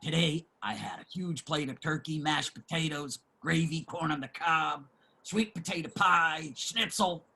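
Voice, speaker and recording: A man playing a streetwise homeless man in a play reading, generous and opinionated, with salty, emphatic street talk and a husky voice. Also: on the high side, measured pace, pushed and bright, heavily acted. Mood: exasperated, boastful. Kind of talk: dramatic table reading of a stage play.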